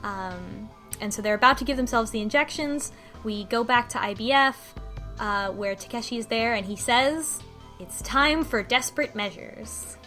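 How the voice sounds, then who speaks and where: A woman's voice: a little high, normal speed, sharp tone, faint music behind. A woman casually recapping a visual novel on a podcast, with lots of ums and restarts. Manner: rambling, many ums and restarts, laughs often.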